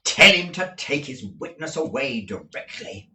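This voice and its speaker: A man voicing a stern, blustering middle-aged male lawyer who talks in a booming, domineering way. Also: sing-song, slow, fairly bright tone. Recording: roomy audio from a distant mic.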